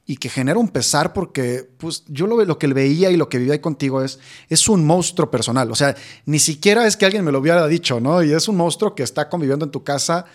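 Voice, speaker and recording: A man's voice: fairly high pitch, animated, fast. A man hosting an interview, sharing personal reflections earnestly and asking probing questions. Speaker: interview host. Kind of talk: conversational interview.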